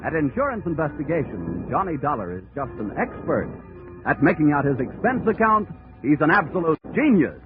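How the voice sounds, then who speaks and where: A male announcer opening a vintage radio show with a high, animated, booming voice. His delivery is theatrical and emphatic. The sound is thin and tinny, with music playing under him. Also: brisk.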